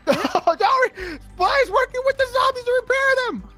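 Male co-player, playful gameplay banter, high-pitched, very animated delivery. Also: moderate pace, faint music behind. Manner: excitable, exclamatory. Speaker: gaming video co-player.

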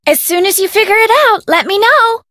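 An actress playing a gossipy, excitable, girlish young woman in a video game, speaking dramatically. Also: very high-pitched, fairly quick, no room sound, studio quality. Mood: giddy, excited. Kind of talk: video game character acting.

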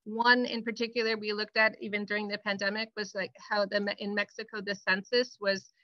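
A woman's voice: mid-range voice, flat delivery, a little fast, bright, forceful tone, dry recording. Woman teacher, hesitant, restarts often, dry muffled call audio. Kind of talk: webinar discussion.

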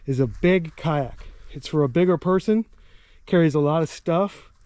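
A man with a slightly high, smooth voice talks in short, matter-of-fact phrases. He is outdoors, speaking into a camera he holds himself. The sound is dry and close. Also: mellow, no echo. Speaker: kayak rental guide. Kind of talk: casual walkaround video. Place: outdoors on a rural property. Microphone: camera held by the speaker.

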